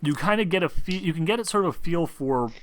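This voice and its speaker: A man improvising narration as game master of a tabletop game, thinking aloud in a halting, conversational way. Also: fairly high pitch, lively intonation.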